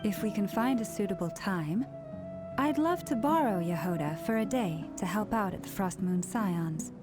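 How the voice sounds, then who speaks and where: An actress playing a gentle, composed antlered woman in a video game, speaking politely and formally. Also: medium pitch, expressive, normal speed, over music, lightly acted. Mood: courteous.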